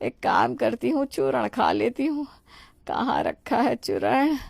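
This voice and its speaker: A woman's voice: a little high, very animated, slow, vocal fry, a little mellow, husky, dry recording, full character voice. A woman voicing a stubborn, greedy older mother-in-law in a moral story, bossy and exclamatory with a whining edge. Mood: pained. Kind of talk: Hindi moral story voice acting.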